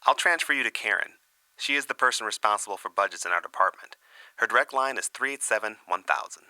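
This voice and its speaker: A man playing a courteous, businesslike office employee on the phone in a scripted training example, brisk and polite. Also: on the low side, animated, sharp tone, clean tone, no echo, low-quality recording. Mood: helpful, brisk.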